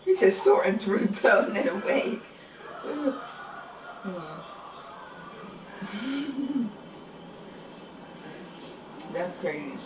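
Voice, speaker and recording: Slow, laid-back man chatting on a stream. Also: big pitch swings. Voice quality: deep voice. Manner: laid-back, chuckles often, trails off mid-sentence. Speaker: livestream host. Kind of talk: casual chat during a live game stream. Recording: echoey room, far from mic, noisy.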